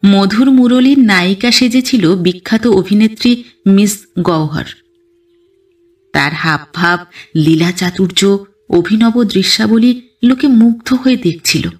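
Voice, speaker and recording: A woman reading a literary first-person story as an audio narrator, with an expressive, dramatic storytelling delivery. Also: medium pitch, distant mic.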